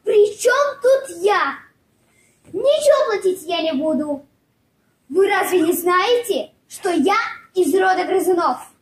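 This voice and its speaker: A woman voicing a cunning, defiant female bat in a children's fairy tale play, arguing slowly and emphatically. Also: very high-pitched, animated, echoey room, full character voice. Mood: defiant, sly.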